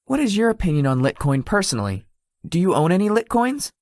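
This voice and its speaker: Woman voicing a low, lively, polite young executive guy. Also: quick pace, no echo, studio quality. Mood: earnest, mild. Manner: polite, slightly formal, hesitant. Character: shy young male tech executive, sleepy, polite homebody. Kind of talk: visual novel character dialogue.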